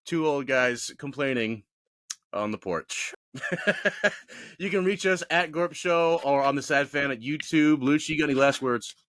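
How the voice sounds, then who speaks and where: Bright-voiced man ranting on a podcast. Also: on the high side, unhurried, no echo, studio quality. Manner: opinionated, animated, self-mocking. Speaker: podcast co-host. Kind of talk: casual ranting chat.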